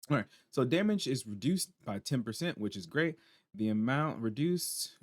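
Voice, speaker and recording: A tired man with a hoarse voice, casually talking through game patch notes at his computer and reacting as he reads. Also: on the low side, dry recording. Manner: chatty, reacts aloud while reading on screen. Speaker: gaming channel host.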